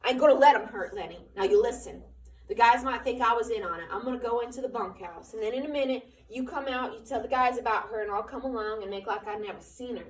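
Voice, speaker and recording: A woman's voice: on the high side, fast, close to an everyday voice. A woman reading aloud, voicing a weary working man who speaks plainly and urgently. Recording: roomy, from far off.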